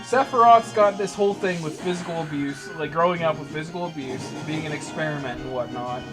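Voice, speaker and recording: A man's voice: on the high side, brisk, music in the background, rough recording. A young man bantering casually while gaming, offhand, sarcastic and sweary. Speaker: co-host playing a video game.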